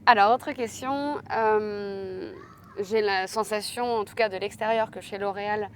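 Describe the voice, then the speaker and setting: A woman hosting an interview podcast, chatty and lively, speaking casually with her guest. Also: medium pitch, unhurried, slight background noise. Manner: lively and chatty, laughs easily. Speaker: podcast host.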